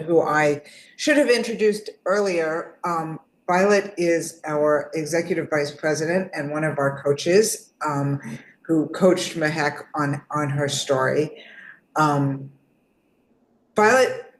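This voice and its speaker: A woman hosting an online interview in a casual way, heard over a video call. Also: deep voice. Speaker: host and moderator. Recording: roomy echo on online call.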